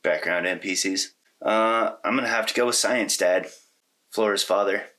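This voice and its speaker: Deep, bright-voiced man chatting casually. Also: normal speed. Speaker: podcast co-host. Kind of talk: casual conversational movie discussion.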